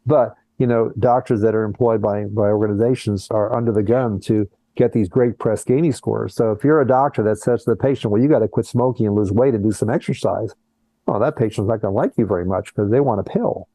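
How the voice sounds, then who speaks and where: A man's voice: on the low side, brisk, warm tone, no echo, low-quality recording. An older man who is a plastic surgeon, talking earnestly in a conversational interview over a video call and often restarting his words. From born in Colombia, raised in Brazil and the US.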